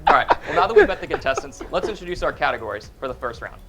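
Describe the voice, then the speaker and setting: A young man hosts a student quiz show in a college TV studio, his voice sitting a bit high. He keeps things upbeat, laughing along and tripping now and then over the clues. Faint music plays underneath. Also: expressive, racing. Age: young adult. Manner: upbeat, chuckles often, reads clues with slips. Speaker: game show host. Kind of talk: college game show hosting.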